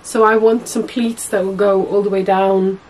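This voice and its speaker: Husky young woman with a cold. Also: mid-range voice, little pitch movement, moderate pace, a little mellow, poor audio. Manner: chatty, rambling, many fillers and self-corrections. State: hoarse from a cold. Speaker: sewing vlogger. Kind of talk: casual chat to camera. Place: small indoor room at home.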